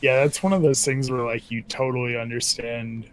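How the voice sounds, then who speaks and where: Young dude, quiet, keeps saying "like" and "i mean", laid back podcast chat. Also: male voice, mid-range voice, steady tone, moderate pace, low-quality recording. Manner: soft-spoken, hedging with "like" and "I mean". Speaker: podcast co-host. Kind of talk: casual chat.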